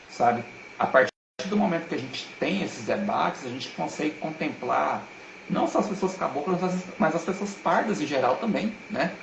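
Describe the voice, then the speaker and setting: A man speaks on a live video call, earnest and emphatic. His voice is gravelly and pitched slightly high for a man. The sound is roomy and echoey. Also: background noise. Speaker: guest speaker. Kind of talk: casual live-stream talk. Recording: roomy echo.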